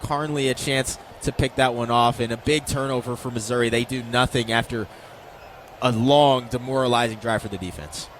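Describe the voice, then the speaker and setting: An American man with a mid-pitched voice provides color commentary for a football game on the radio. He explains the action in a conversational way. Outdoor stadium noise sits behind his voice. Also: steady tone, moderate pace. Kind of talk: live football analysis commentary.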